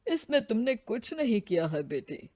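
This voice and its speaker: A man playing a calm, fatherly old hermit in a moral story, speaking soothingly and solemnly. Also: very high-pitched, moderate pace, no room sound, heavily acted. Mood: gentle.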